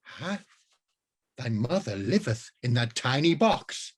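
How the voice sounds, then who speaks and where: A man's voice: medium pitch, big pitch swings, slow. A man voicing the alarmed wizard Myrddin. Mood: puzzled. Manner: archaic speech, dramatic and easily alarmed. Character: bewildered male wizard from the Dark Ages. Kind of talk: storybook reading.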